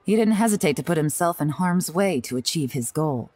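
A woman voicing a shrewd, composed young female intelligence agent in a video game, speaking in a measured, confident way. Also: deep voice, animated, a little mellow, slight background noise. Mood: cool, analytical. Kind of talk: video game dialogue acting. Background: game music.

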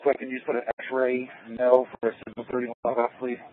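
A man's voice: mid-range voice, monotone, a little fast. A man talking in police radio traffic. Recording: dull lo-fi police radio feed.

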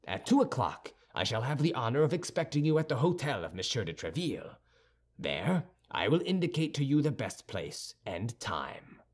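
A man voicing a haughty, sardonic young musketeer in an audiobook, formal, measured and condescending. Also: mid-range voice, very animated. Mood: sardonic, composed. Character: cool, haughty young musketeer with churchly airs, dryly sardonic. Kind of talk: audiobook character dialogue.

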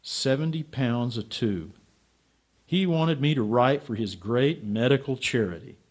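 A man's voice: mid-range voice, unhurried, darkish tone, lightly acted. A man playing a bitter, lovelorn, hard-drinking writer in a radio drama, brooding and stubborn. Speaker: actor and narrator. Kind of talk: radio drama acting and first-person narration.

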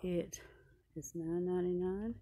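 A woman's voice: fairly low pitch, steady tone, a little slow, warm tone. A woman shopping vlogger giving casual running commentary as she browses a large furniture showroom.

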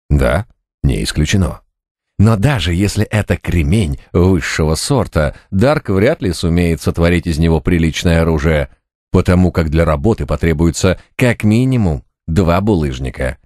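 Low-voiced expressive male audiobook narrator. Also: no room sound, clean studio sound. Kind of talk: dramatic scripted audiobook narration of fiction. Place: studio, dry and close.

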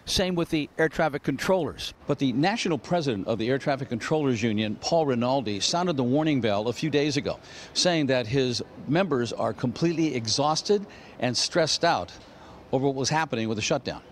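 A man's voice: mid-range voice, animated, fairly quick, a little hiss or hum. An older man with an American accent reporting as a television news correspondent on a handheld microphone. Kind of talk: live news report and scripted narration. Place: airport terminal and curbside.

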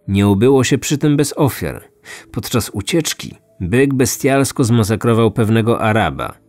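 A man hosting a true-crime podcast, narrating from a script in measured storytelling style. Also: on the low side, darkish tone. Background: background music.

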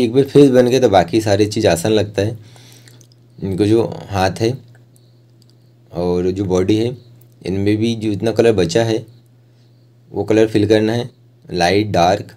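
A man's voice: fairly low pitch, steady tone, measured pace, pauses often, dark tone. A man giving an informal, plain step-by-step art tutorial as a voice-over instructor.

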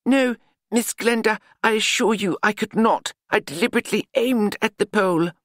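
A man voicing a gentle, earnest young male misfit in an audiobook, precise, formal and apologetic. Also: sits high, moderate pace, no echo, studio quality, voice pushed up. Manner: precise, formal, overly polite.

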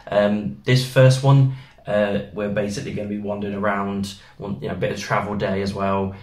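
Chatty man, low voice. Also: level tone, normal speed, darkish tone. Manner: chatty, rambling with fillers. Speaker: travel vlogger. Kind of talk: casual vlog chat. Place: small hotel room, indoors. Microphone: camera in front of seated pair. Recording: echoey room, mic at a distance.

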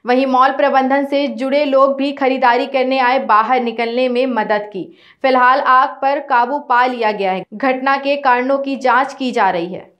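A young woman news anchor reading a scripted report in a steady, formal way from a studio. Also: high voice, normal speed, highs cut off.

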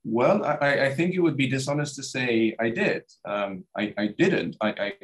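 A man's voice: medium pitch, lively intonation, moderate pace. A man in his thirties from Iraq, a scholar speaking in a video-call interview from a study with bookshelves, hesitant with many fillers and restarts. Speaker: guest scholar. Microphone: webcam on video call.

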